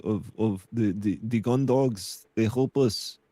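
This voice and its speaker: A mock soldier with a clumsy, halting foreign accent, as a man puts it on. The voice sits low and stumbles over its words, full of fillers. The sound is dry and close. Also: mellow, dry recording. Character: man mocking an Eastern European or Israeli soldier.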